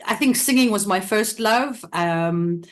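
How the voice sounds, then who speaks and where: A middle-aged woman from South Africa chats as a guest in an interview. She laughs easily and restarts her sentences often, keeping an ordinary mid-range pitch throughout. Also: measured pace. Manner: chatty, laughs often, many restarts and fillers. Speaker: interview guest, theatre company director. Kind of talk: casual interview talk.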